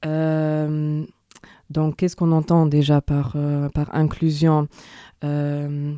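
Slow, low-voiced woman hosting. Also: monotone, mellow, dry recording, clean studio sound. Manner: hesitant, many filler pauses. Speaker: host, social worker and youth educator. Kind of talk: podcast hosting. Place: studio.

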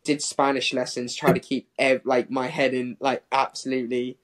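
A man in his twenties speaks as a guest in a casual interview. His voice sits at a medium pitch. He rambles conversationally, dropping "like" into his sentences again and again. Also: level tone, normal speed, fairly bright tone. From Hong Kong and England. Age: 20s. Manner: rambling, peppered with "like". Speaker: professional distance runner, interview guest.

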